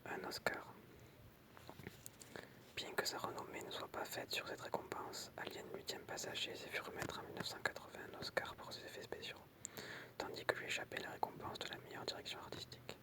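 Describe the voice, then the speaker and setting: A person whispering in an ASMR style, reading out short pieces in a soft, explanatory way with casual asides. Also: brisk. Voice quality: unvoiced, breathy whisper. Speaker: ASMR creator reading film trivia with commentary.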